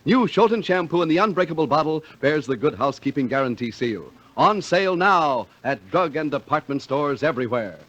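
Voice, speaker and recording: A man's voice: fairly high pitch, animated, a little fast, hardly any pauses, fairly bright tone. A man reading scripted 1950s radio announcements in a formal, polished way. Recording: dull lo-fi vintage radio broadcast.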